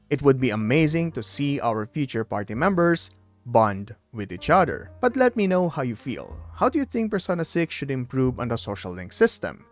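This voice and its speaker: A man hosting a scripted video game commentary, presenting straight to viewers with emphasis at the start of his phrases. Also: mid-range voice. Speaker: video essay host. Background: background music.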